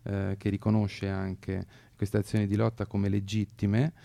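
A man speaking as an activist on the radio, explaining his views earnestly in a halting, measured way. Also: on the low side, flat delivery, vocal fry, dark tone, dry recording. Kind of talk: earnest political discussion.

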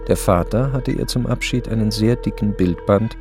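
Actor for radio drama narrator: male, lowish, gravelly, understated and dryly detached. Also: normal speed, over music, lightly acted. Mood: matter-of-fact. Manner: dry, understated, matter-of-fact. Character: dry, detached storyteller of a woman's life.

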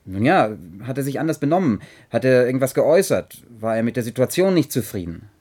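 A man's voice: medium pitch, sing-song, a little fast, a little mellow. An actor playing an earnest, polite investigating chronicler in a German radio play, hesitant but persistent in his questions. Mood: preoccupied, inquiring.

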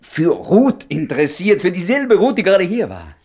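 A man's voice: high-pitched, huge pitch swings, normal speed, a little mellow, slightly rough, exaggerated voice. An actor playing an awkward, flustered male doctor in a German radio play, hesitant and stammering as he gropes for words. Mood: curious, fumbling.

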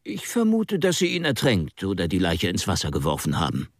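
Animated, husky, impulsive young gentleman. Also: deep voice, fairly quick, no echo, clean studio sound, heavily acted. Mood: agitated, accusing. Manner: abrupt, insistent, emphatic. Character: strong young English gentleman, impulsive, accusing, agitated. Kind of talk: German radio drama acting.

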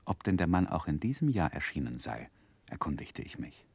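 A man acting as a reflective narrator recalling his boyhood in a radio play, with measured, expressive literary storytelling. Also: a bass voice, creaky, slightly rough, heavily acted. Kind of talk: scripted narration, 1960s German radio play.

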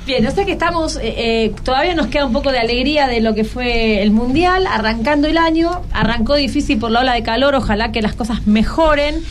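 A woman radio host with a Buenos Aires accent speaks in a fairly high voice. She chats casually and prompts with questions, as in an interview with kids, over faint background noise. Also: brisk, few pauses. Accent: Argentine, Buenos Aires. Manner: conversational, prompting with short questions.

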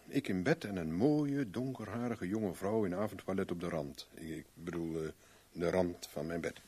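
An actor in a Dutch radio drama playing a wry, flirtatious private investigator with a glib, teasing manner. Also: male voice, sits low, normal speed, warm tone, husky. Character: wry, flirtatious, cool-headed male private investigator for hire.

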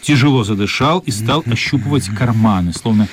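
An actor as the narrator of a children's radio play, reading scripted storybook prose. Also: male voice, lowish voice, fairly quick, background noise, lightly acted. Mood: measured.

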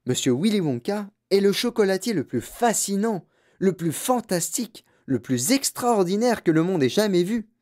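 A narrator voicing a grandfather in his nineties, an excitable and fond storyteller full of emphatic exclamations, in a storybook. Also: mid-range voice, moderate pace, voice pushed up, natural voice. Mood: excited, emphatic. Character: excitable, awestruck very old grandfather, vivid storyteller.

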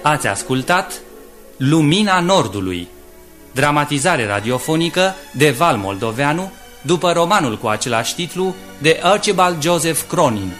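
Formal male radio announcer, lively pitch. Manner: formal, deliberate. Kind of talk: formal scripted credits reading. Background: music.

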